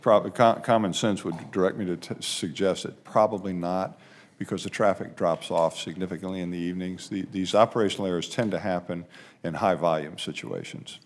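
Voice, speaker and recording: Low-voiced man giving emphatic testimony. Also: fairly even intonation, a little fast. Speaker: aviation official testifying at a hearing. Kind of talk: spoken testimony.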